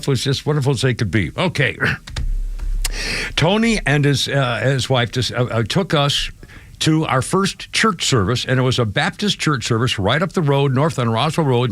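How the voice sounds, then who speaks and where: A man's voice: mid-range voice, fairly bright tone, no room sound. An older man from New England hosting a radio talk show, rambling through stories with many restarts and laughs in a studio. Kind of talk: casual radio talk and storytelling. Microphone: studio microphone.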